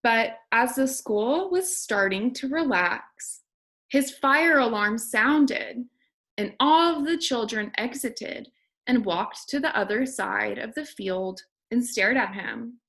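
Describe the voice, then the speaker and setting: A woman teacher reads a children's story aloud for young listeners. Her voice is fairly high and swoops with expression. She goes slowly and deliberately, addressing the children directly. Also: animated, fairly bright tone. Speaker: kindergarten teacher reading aloud.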